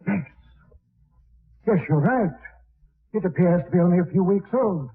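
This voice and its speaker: On a tinny, band-limited old radio recording, a learned professor on an expedition. He speaks in a fairly high male voice, weighing each thought in a measured, scholarly manner, in the style of 1940s radio drama. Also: husky, exaggerated voice. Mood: curious, absorbed. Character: learned alien professor, careful, pondering scientist.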